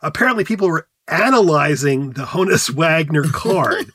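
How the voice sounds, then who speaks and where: A man's voice: fairly high pitch, expressive, moderate pace, fairly bright tone. A man co-hosting a casual talk show about words, speaking with enthusiasm and rambling through restarts. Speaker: podcast co-host.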